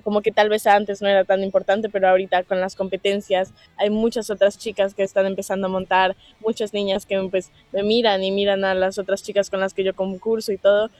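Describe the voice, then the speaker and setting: A woman's voice: mid-range voice, brisk, hardly any pauses, no echo. Chatty teenage Colombian girl, dry sound. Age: teens. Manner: relaxed, chatty, many fillers like "sabes". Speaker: guest skateboarder. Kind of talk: casual interview chat.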